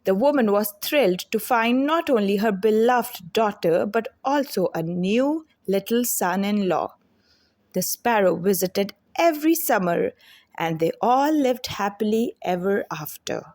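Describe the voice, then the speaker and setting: Expressive woman storyteller with a lively pitch. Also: unhurried. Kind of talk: scripted children's fairy tale read-aloud.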